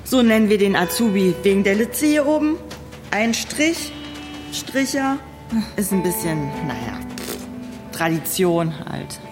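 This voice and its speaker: An actress playing an eager, plucky young police trainee in a radio drama, informal and direct. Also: female voice, mid-range voice, sing-song, unhurried, long pauses, over music. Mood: surprised, eager. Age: young adult. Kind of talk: German radio drama acting.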